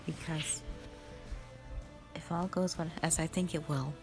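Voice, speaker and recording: A grave elder witch played by a woman leads a hunt in an audio drama. Her low voice moves slowly and warily, with solemn warnings over clear background music. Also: steady tone. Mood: wary. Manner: hesitant, solemn, hushed warnings.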